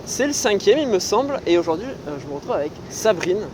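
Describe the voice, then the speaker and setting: A young woman hosting a casual podcast interview outdoors, relaxed and hesitant, with many "euh" fillers. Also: mid-range voice, quick pace, crowd noise. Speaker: podcast host. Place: outdoors in a city on a hot day.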